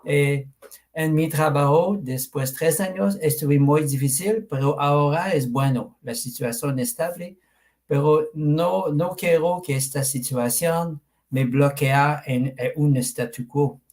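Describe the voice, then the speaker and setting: A man from Quebec whose first language is French, speaking with a French Canadian accent and searching haltingly for words on a video call. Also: on the high side, a little mellow. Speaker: participant. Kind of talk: video call self-introduction.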